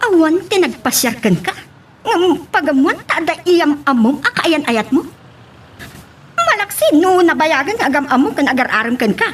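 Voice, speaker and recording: A woman acting an animated, teasing older female relative in an Ilocano audio drama. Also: high-pitched, moderate pace. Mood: sharp, prying. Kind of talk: radio-style drama acting. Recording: faint background noise.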